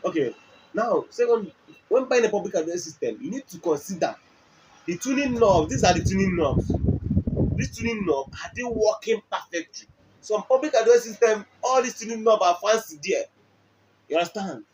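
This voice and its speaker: A man's voice: high-pitched, lively intonation, normal speed. A man with a Nigerian accent presenting a product explainer, emphatic and repetitive, often checking with the listener through questions. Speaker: music equipment dealer and presenter. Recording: dull lo-fi audio, faint background noise.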